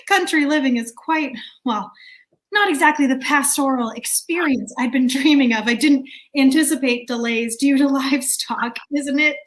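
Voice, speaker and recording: A woman acts a fussy committee chair in a staged comedy. The voice is high and the wording is prim and formal. The delivery is over-polite, with a nervous edge. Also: moderate pace, fairly bright tone. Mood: flustered politeness. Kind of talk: staged reading of a comic play.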